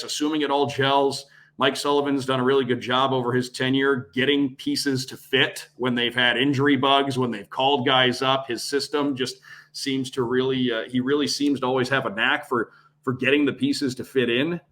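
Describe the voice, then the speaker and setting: A man's voice: mid-range voice, sharp tone. Man breaking down hockey at length. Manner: talks at length in long, analytical runs. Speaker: radio play-by-play broadcaster, guest. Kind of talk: casual sports podcast discussion.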